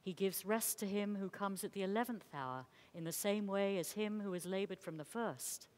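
A woman's voice: mid-range voice, normal speed, a little mellow. A woman reading a homily as clergy, in a solemn liturgical style. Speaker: clergy reader.

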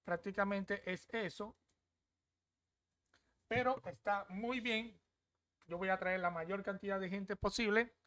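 Chatty young man, smooth dry voice. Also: high voice, steady tone, takes it slowly, pauses often, a bit bright, no echo. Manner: chatty, repeats "¿ok?" often. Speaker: crypto app reviewer. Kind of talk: casual screen-share walkthrough.

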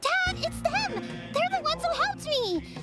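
A small, squeaky cartoonish girl in a fantasy game. The voice is extremely high and swoops about, with loud music underneath. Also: very animated, measured pace, heavily acted. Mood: earnest. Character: small, squeaky, childlike cheerful girl character. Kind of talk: video game voice acting.

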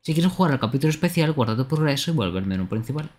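Lively male game streamer. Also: medium pitch, rapid-fire. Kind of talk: live game dubbing and commentary. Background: game music.

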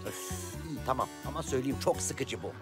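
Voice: medium pitch, brisk, music in the background, lightly acted. A performer voicing a cheerful, curious young car in a children's cartoon, playful, talkative and cheeky. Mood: cheeky, protesting. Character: cheerful, chatty, curious young red self-driving car. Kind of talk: animated children's cartoon acting.

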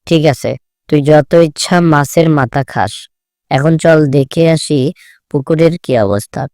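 A man voicing a kindly, hopeful village father in a cartoon, speaking politely and gently. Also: a little high, slow pace, dry recording, clean studio sound. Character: kindly, hopeful village father, plain-spoken fish farmer. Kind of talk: Bengali cartoon voice acting.

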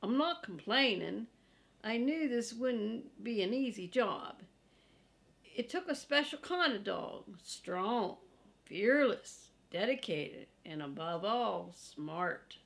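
A woman's voice: mid-range voice, animated, takes it slowly, gravelly, a bit bright, heavily acted. A woman reading a storybook as a boastful, grumbling male guard dog, speaking in a folksy, emphatic manner. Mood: proud, folksy.

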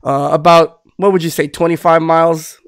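Man, middle-aged, podcast host, rambling fillers, smooth clear voice, dry close mic. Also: on the high side, little pitch movement, moderate pace, no echo. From born in the Philippines, raised in Southern California. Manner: rambling, many restarts and fillers. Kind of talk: casual video-call podcast interview. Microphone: headphones with studio mic on webcam.

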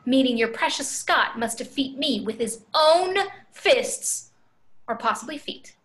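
A woman's voice: sits high, animated, measured pace, fairly bright tone. A woman playing a fierce, taunting female villain in a table read over a video call. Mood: scornful, menacing.

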